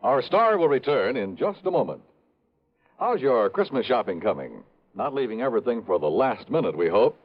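A man's voice: medium pitch, sing-song, moderate pace, faint music behind. A man announcing on 1950s network radio in a scripted style. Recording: muffled old radio broadcast recording.

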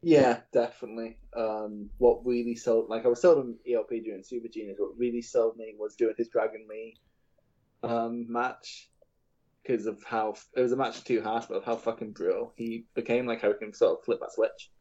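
A man's voice: mid-range voice, flat delivery, normal speed, warm tone, dull audio. A man co-hosting a podcast in casual banter, laid-back and chatty, with filler words and some swearing. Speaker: podcast co-host.

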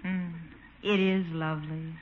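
A woman's voice: lowish voice, little pitch movement, unhurried, natural voice. An actress playing a skeptical, demure lady on a date in a 1950s radio sitcom, dry and hesitant. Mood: dry, softening. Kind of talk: 1950s American radio situation comedy acting. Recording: muffled, noisy old 1950s radio recording.

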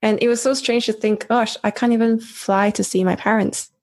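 A woman's voice: on the high side, steady tone, moderate pace, a little mellow. A woman from East Malaysia, asking questions in a casual, conversational interview style.

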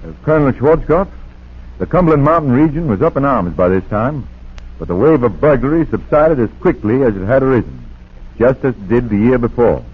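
A man's voice: medium pitch, sing-song, brisk. An actor playing an authoritative male police chief narrating a case in scripted 1940s radio style. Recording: tinny, rough 1940s radio recording.

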